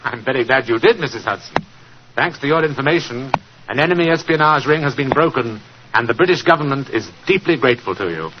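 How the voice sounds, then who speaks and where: An actor playing a courteous, authoritative government gentleman in 1940s radio style, measured and formal. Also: mid-range voice, expressive, close to an everyday voice. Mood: courteous, reassuring. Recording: muffled, slightly noisy 1940s radio.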